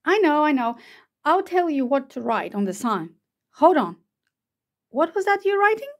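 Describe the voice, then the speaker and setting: A woman voicing an excitable, chatty know-it-all owl in a children's storybook read-aloud. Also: high-pitched, moderate pace. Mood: excited, boastful. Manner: excitable, gushing, boastful. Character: eager, chatty know-it-all owl, bossy but helpful.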